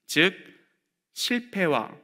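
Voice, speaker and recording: A male pastor delivers a sermon in a fairly high, bright and forceful voice. He talks to the congregation conversationally, slipping in light humor and pausing often with fillers. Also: big pitch swings.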